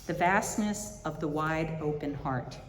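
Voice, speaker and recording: A woman giving a reflection at a service, reading spiritual writing aloud in a measured, reflective way. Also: sits low. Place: large indoor chapel or hall. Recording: echoey hall with reverb, faint background noise.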